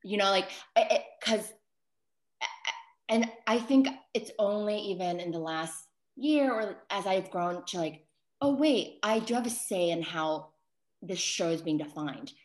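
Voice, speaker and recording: A woman's voice: medium pitch, a little slow. An American woman, a solo performer, speaking reflectively in a discussion, hesitating and correcting herself as she thinks aloud. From New York City, United States. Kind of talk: reflective post-show discussion. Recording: roomy, echoing sound.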